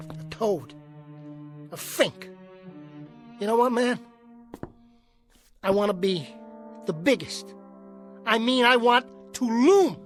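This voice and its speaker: An actor playing a small, bitter, hot-tempered jockey in a radio drama, with a streetwise, combative, slangy way of talking. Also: male voice, high-pitched. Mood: bitter yearning.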